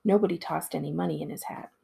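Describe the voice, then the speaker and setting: Female storybook narrator, librarian read-aloud style: expressive delivery, lower register, strongly raspy. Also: fast talker. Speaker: school librarian reading aloud.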